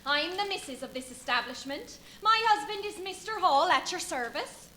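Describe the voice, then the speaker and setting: A woman's voice: very high-pitched, animated, normal speed, sharp tone, a little hiss or hum, full character voice. A woman playing a chatty, bossy village innkeeper's wife in a live radio play, fussy, gossipy and full of exclamations. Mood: eager, hospitable. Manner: gossipy, exclamatory, deferential to guests, scolding the maid.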